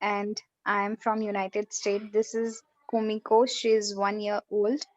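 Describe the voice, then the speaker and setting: A woman's voice: mid-range voice, level tone, slow, no room sound, highs cut off. A student, a woman, reading a short text aloud.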